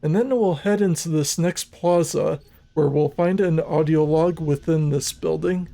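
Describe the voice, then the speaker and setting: A man narrating a scripted video game walkthrough in a measured, methodical, instructional manner. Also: fairly high pitch, gravelly, dark tone.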